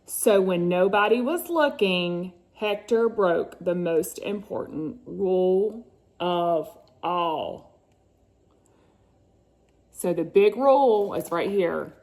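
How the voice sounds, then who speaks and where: A woman's voice: on the low side, lively intonation, slow pace, a little mellow. A woman reads a picture book to her children, theatrical and suspenseful, with chatty asides. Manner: theatrical, suspenseful, often drawing out words. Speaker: mother reading a picture book to her sons. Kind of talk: dramatic storybook read-aloud with chatty asides.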